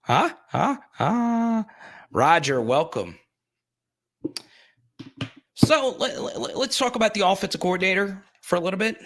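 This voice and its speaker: A man's voice: high voice, very animated, a little slow, long pauses, fairly bright tone. A young man hosting a sports live stream, rambling casually while reading and answering viewers' chat comments in his plain, everyday voice.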